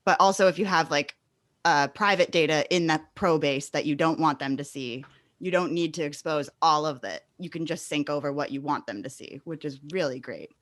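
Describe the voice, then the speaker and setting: A woman's voice: sits low, normal speed, dry recording, clean studio sound. A woman hosting a conversational podcast interview, agreeable and affirming as she talks. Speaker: podcast host.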